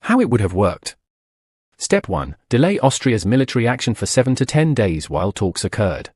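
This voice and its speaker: Husky guy doing dramatic history narration, very up and down, dead dry sound. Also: medium pitch, very animated, normal speed, a little mellow, no echo. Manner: dramatic, punchy, full of rhetorical questions. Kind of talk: scripted documentary narration.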